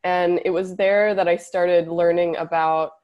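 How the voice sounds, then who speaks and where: A woman dietitian, a podcast guest with a slightly hoarse voice, explaining fluently. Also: lowish voice, fairly even intonation, a little slow, a little mellow. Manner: fluent, explanatory, occasional laughs. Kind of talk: podcast interview.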